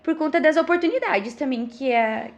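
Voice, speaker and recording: A thirty-year-old Brazilian woman explaining things earnestly as an interview guest, pausing often and checking in with tag questions. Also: sits high, animated, dull audio. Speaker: podcast guest, missionary.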